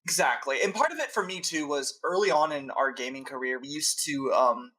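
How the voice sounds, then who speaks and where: Higher, bright-voiced man chatting on podcast. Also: expressive, a little fast. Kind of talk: casual podcast chat.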